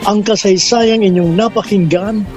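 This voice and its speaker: Male announcer, Filipino accent, high voice, scripted radio sign-off, music bed. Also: fairly even intonation, normal speed. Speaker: programme announcer and host.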